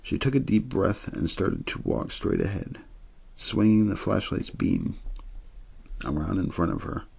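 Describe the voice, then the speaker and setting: Male ASMR story reader: low, husky, heavy fry, plain untheatrical delivery meant to soothe. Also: big pitch swings, unhurried, darkish tone. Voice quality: husky, gravelly. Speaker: audiobook-style story reader. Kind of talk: ASMR story reading, scripted narration.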